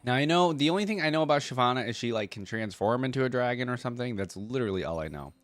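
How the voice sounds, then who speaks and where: A man's voice: medium pitch, expressive, racing, no echo. A man giving casual, conversational reaction commentary, musing aloud as he goes. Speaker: reaction video host.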